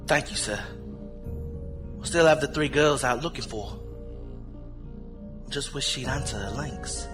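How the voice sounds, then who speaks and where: A man's voice: medium pitch, measured pace, over music, close to an everyday voice. An actor playing an anxious, respectful male crewman in a sci-fi audio drama. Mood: worried.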